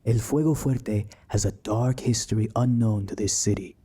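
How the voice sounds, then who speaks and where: An actor playing a brash, resentful young villain luchador in an audio drama, cocky and sneering. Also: male voice, on the low side, moderate pace, mellow, husky. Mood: bitter, scornful. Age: young adult.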